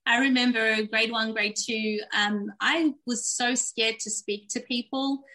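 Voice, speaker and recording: A woman with Turkish as her recounts her own story as a guest. Her voice sits a little high and rings bright and forceful. She talks in an earnest, candid, reflective way. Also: steady tone, a little slow, rough recording. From Australia. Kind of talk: candid personal storytelling in a podcast interview.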